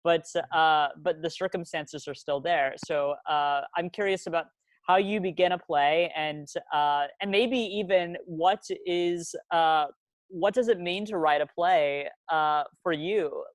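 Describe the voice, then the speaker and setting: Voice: medium pitch, measured pace, no echo. Hesitant interviewer with dry sound. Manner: hesitant, many uh fillers. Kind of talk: asking interview questions.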